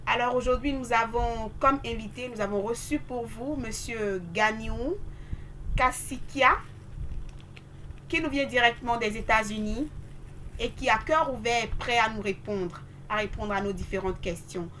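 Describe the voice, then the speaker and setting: Woman interview host, Beninese accent, fairly high voice, formal questioning, faint background noise. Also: a little slow, bright, forceful tone, poor audio.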